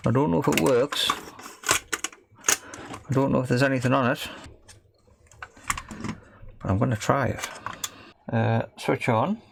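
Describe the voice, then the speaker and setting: Male, unhurried and slightly gravelly, tentative amateur fixer talking through a repair, faint noise and clicks behind. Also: medium pitch. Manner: tentative, self-deprecating, muttering to himself. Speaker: amateur repair video maker. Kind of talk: casual hands-on repair commentary, thinking aloud.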